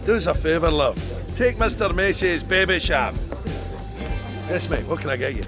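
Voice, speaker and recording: A surly older British pub barman, played by a man, quick to take offence. His voice is pitched high, in a comedy radio play with music clearly behind him. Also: lively intonation, natural voice.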